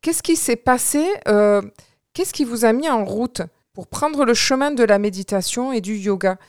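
A woman's voice: a little high, normal speed, darkish tone, no echo, clean studio sound. A woman hosting a radio interview show, friendly, with frequent fillers. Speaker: radio host.

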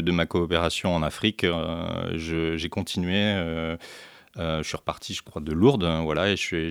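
A man's voice: deep voice, no room sound, clean studio sound. A man from central France, a guest on a radio interview, telling his story with many fillers and restarts. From central France, Orléans area. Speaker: guest, beekeeper and deacon.

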